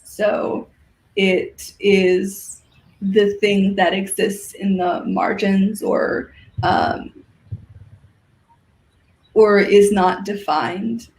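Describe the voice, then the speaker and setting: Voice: medium pitch, a little hiss or hum. Echoey, thoughtful guest in casual chat. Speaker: guest, organizer of a fiction podcast and symposium. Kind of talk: casual conversational interview. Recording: roomy, echoey sound.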